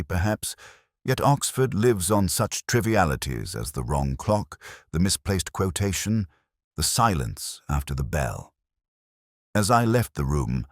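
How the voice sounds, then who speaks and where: An extremely deep male voice narrates a reflective mystery in scripted first person. The delivery is measured and melancholy, yet the pitch moves expressively through each phrase. The sound is dry, with no room around it. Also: no room sound.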